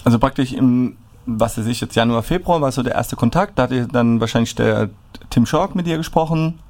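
A man in a casual club interview asks questions in a relaxed, loose way. His voice is of middling pitch. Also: a little fast.

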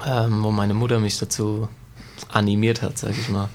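A man's voice: fairly low pitch, flat delivery, moderate pace, darkish tone, slight background noise. A man in his twenties, raised in Germany, chatting casually about his background as a guest footballer in an interview, with many fillers. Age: 20s.

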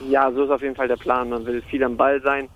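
A man's voice: mid-range voice, flat delivery, fast talker, fairly bright tone, highs cut off, slight background noise. A young man from Hamburg, a football player answering interview questions casually and hesitantly, with many fillers. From Germany (Hamburg).

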